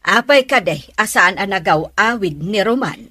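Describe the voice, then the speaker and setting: A woman's voice: medium pitch, animated, moderate pace, bright, forceful tone, dry recording. A woman playing a gossipy, easily annoyed middle-aged mother in a radio drama, chatty and insistent. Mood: confiding.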